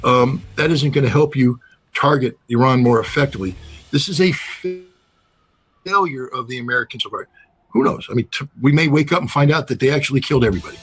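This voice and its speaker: A man's voice: mid-range voice, fairly quick, a bit bright, faint music behind, low-quality recording. A man giving blunt, emphatic geopolitical analysis in a conversational way, repeating phrases to drive his points home. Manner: blunt, emphatic, uses repetition. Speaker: political and military analyst.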